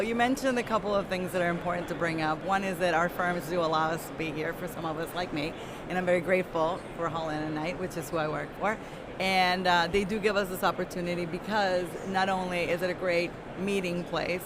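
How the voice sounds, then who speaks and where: A woman speaks casually as an interviewer, in a conversational style. Her voice is recorded with clear ambient noise around it. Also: lowish voice. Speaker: interviewer, conference volunteer. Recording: clear urban background noise.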